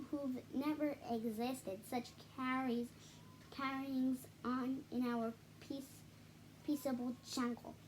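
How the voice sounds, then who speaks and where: A big, haughty mother kangaroo from a storybook, acted out by a woman, scolds in a high voice. The delivery is slow, snappish and scornful, with faint background noise. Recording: noisy home recording.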